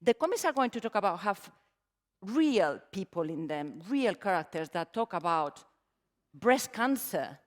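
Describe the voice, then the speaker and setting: A middle-aged woman from Spain with a Spanish accent, giving a conference talk in a warm, self-deprecating way with frequent fillers. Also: medium pitch, sing-song, a little slow. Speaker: conference speaker. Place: large conference hall. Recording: reverberant hall, stage mic.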